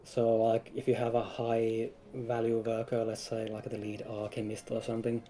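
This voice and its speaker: A man's voice: medium pitch, completely flat delivery, normal speed, warm tone. A man speaking casually as a guest game developer, explaining things in an informal chat. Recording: some background noise.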